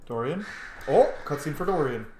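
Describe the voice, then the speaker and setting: A person doing casual, gushing commentary while roleplaying through a video game, chatty and quick to laugh. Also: medium pitch, lively intonation, darkish tone, echoey room, slight background noise.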